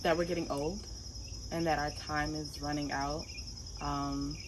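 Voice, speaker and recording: A woman's voice: sits low, level tone, a little slow, background noise. A woman in her mid-twenties speaking frankly and laid-back in casual chat. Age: 20s. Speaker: interviewee.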